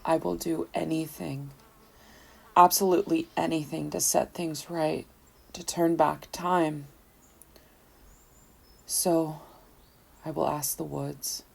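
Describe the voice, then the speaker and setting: Haunted lonely woman, low pitch, mellow tone, somber, confessional, measured, audio horror play. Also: fairly even intonation, gravelly, husky, exaggerated voice. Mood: mournful, determined. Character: guilt-ridden, lonely, frightened woman confessing before a dangerous ritual.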